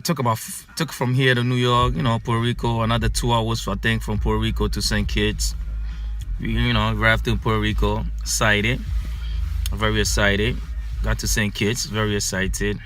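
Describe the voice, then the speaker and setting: Man, bright edgy tone, casual storytelling, animated, keeps saying man and you know. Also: lowish voice, moderate pace. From Ghana, raised in the United States.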